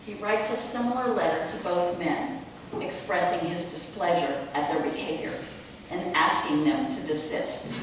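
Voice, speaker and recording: Woman narrator, lower register, scripted live introduction; very reverberant, distant room with clear noise. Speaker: narrator introducing a dramatic reading. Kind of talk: scripted narration read aloud. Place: museum room, live community theatre event. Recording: echoey hall from far off, noisy.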